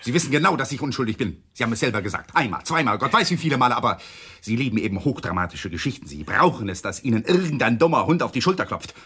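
An actor playing an indignant, defiant young lawyer in a radio drama, speaking with insistence. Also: male voice, medium pitch, very animated, quick pace, bright, forceful tone, slightly rough, heavily acted. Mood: outraged. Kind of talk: 1980s German radio drama acting.

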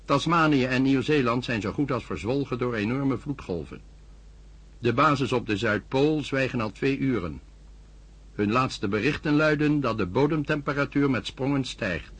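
A sober newsreader in a radio drama, reading a disaster report in an even, formal tone. His voice is slightly husky. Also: mid-range voice, normal speed. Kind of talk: news bulletin reading.

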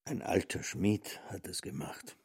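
A man performing a radio play monologue in a brooding, deliberate manner. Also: low voice, animated, breathy edge, full character voice.

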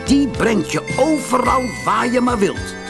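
Man voicing a bossy storybook wolf, raised pitch. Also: expressive, measured pace, gravelly, over music, exaggerated voice. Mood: approving. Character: bossy male wolf with fiery eyes, bargaining.

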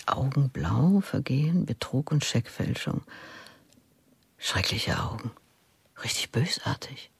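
An actress playing a detective's wife in a radio drama, speaking in a hushed, uneasy, half-whispered manner. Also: female voice, extremely low pitch, gravelly, breathy edge, no echo, heavily acted. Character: detective's wife, woman studying mugshots.

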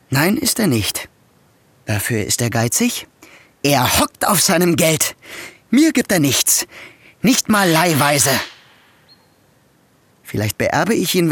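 A man's voice: a little high, a bit bright, dry recording, full character voice. An actor playing a resentful, debt-ridden young man who talks in a bitter, mocking, self-pitying way in a radio drama. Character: broke, resentful young male nephew. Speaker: new schoolmaster, the nephew.

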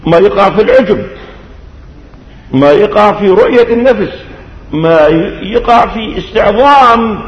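Older syrian guy giving a mosque sermon, pretty high voice, big echo, kinda explaining like a teacher. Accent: Syrian Arabic. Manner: didactic, measured, with rhetorical questions and deliberate phrasing. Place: large reverberant mosque. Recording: dull echoey hall recording, long reverb.

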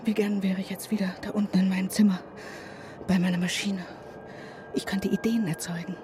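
A woman's voice: mid-range voice, steady tone, a little fast, background noise, natural voice. A woman playing a fretful, fussy airship passenger. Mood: wistful. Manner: fretful, whispering inner monologue. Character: anxious, fussy woman traveling alone on an airship. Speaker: lead character. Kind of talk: radio play acting. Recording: radio play with loud music underneath.

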